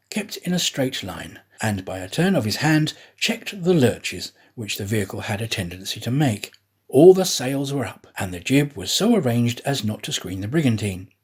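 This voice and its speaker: A man narrating a classic adventure story in a measured, expressive storytelling manner. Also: mid-range voice, breathy edge. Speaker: audiobook narrator, actor.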